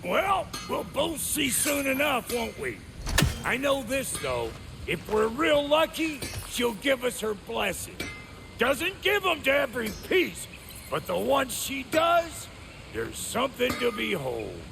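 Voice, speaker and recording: An actor playing a gruff, foul-mouthed dwarf blacksmith in a video game, with a gravelly voice, an American accent and a folksy, grumbling way of talking. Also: male voice, very high voice, very animated, slow pace, exaggerated voice. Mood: gruff, reminiscing. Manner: folksy, crude, grumbling, colloquial. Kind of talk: video game character acting. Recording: game dialogue over background music.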